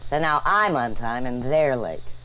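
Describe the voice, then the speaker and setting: An actress playing a sour, fed-up school bus driver with a curt manner in an old training film, recorded with noisy location sound. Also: female voice, rumbling low, animated, measured pace, highs cut off. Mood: bitter frustration.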